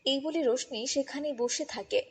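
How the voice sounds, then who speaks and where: A woman's voice: high-pitched, moderate pace, big-room sound. A woman narrating a scripted children's fairy tale as a storyteller.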